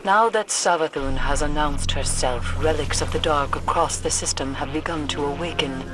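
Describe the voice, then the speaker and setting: Woman playing a low, stern, brooding mystic. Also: normal speed, natural voice, poor audio. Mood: grave, ominous. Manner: clipped, scornful, ominous. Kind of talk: video game character acting. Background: music behind the voice.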